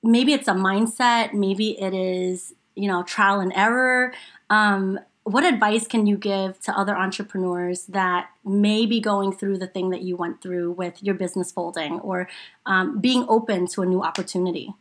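A woman's voice: mid-range voice, fairly even intonation, moderate pace. A woman co-hosting a podcast, asking questions in an upbeat, laughing way that includes casual swearing. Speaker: podcast co-host. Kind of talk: casual interview chat.

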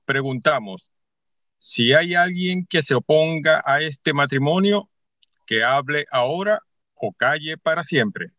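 An actor playing a solemn priest officiating a church wedding in a radio drama, in measured, ceremonial speech. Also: male voice, a little high, expressive, bright, forceful tone, no echo, lo-fi sound, exaggerated voice.

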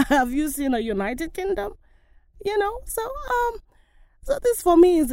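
A woman's voice: mid-range voice, dark tone, dry recording. A woman speaking passionately and emphatically in a personal vlog. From Africa. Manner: passionate, emphatic, rising exclamations. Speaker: actress.

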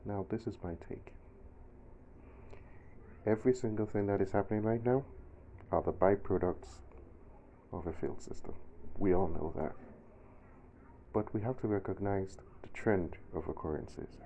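A man's voice: lowish voice, moderate pace, pauses often, warm tone, muffled recording, a little hiss or hum. A man in his forties with a Nigerian accent, giving his own emphatic, indignant opinion in a monologue. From southern Nigeria. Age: 40s. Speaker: commentator.

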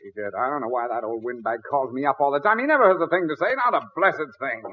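A man's voice: medium pitch, lively intonation, fast talker, husky. An American actor playing a fussy, blustery middle-aged father in 1940s radio comedy, sputtering and theatrical. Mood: exasperated. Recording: thin, tinny 1940s radio broadcast.

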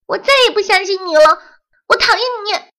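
A woman voicing a small, hurt boy bug in a children's audio story, high and stammering when upset. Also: wildly swooping pitch, slow, pushed and bright, roomy sound, in a large hall, heavily acted. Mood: angry.

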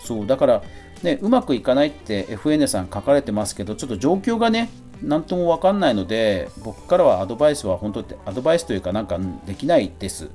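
A man's voice: medium pitch, sing-song, quick pace, dark tone, soft background music. A middle-aged man hosting a podcast, talking casually and conversationally on his own with a self-deprecating touch. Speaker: podcast host. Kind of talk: casual solo talk answering a listener letter.

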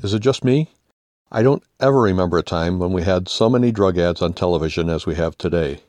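A man's voice: low-pitched, brisk, darkish tone, no room sound, soft background music. An older man with an American accent reading his own humorous first-person essay aloud. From United States.

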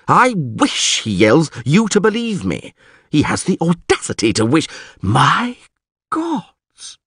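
A man voicing a hot-tempered middle-aged male mayor who blusters and shouts at everyone around him. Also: a little high, huge pitch swings, takes it slowly, breathy edge, dry recording, studio quality, pitched above the performer's own voice, exaggerated voice. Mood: stern, mocking.

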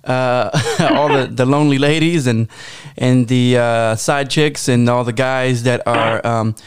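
A man's voice: mid-range voice, steady tone, measured pace, clean tone. An American man hosting a podcast, curious and rambling, often breaking into laughs. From United States. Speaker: podcast host. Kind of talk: casual chat.

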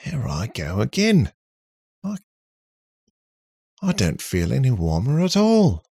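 A man's voice: medium pitch, wildly swooping pitch, slow, creaky, warm tone, breathy edge, dry recording, studio quality, voice pushed up, full character voice. A man voicing a cheeky, needling robot in a story, with a theatrical, teasing manner and shifting put-on accents. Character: cheeky, shape-shifting, needling male robot with swaggering temper.

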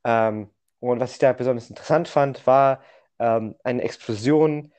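A young man co-hosting a podcast in casual conversation, telling things in a halting way and often correcting himself. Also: medium pitch, a little mellow, smooth. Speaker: podcast co-host. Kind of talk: casual chat.

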